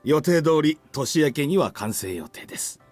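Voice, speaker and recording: Formal middle-aged gentleman, dry sound. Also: fairly high pitch, big pitch swings, quick pace, no room sound, soft background music. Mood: polite, genial. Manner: formal, polite, businesslike. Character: courteous middle-aged gentlemen making polite small talk. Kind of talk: Japanese visual novel voice acting.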